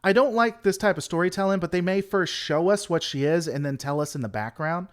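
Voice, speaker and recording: A man's voice: high voice, brisk, clear, resonant tone. A man hosting a casual livestream, rambling through an opinionated critique and answering chat. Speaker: livestream host.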